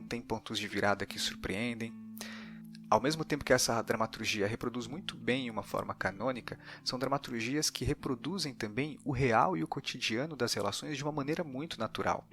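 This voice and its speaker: A man with a Brazilian accent hosts a podcast, reading scripted narration. His voice sits at a middle pitch, with faint music underneath. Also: a bit bright. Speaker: podcast host.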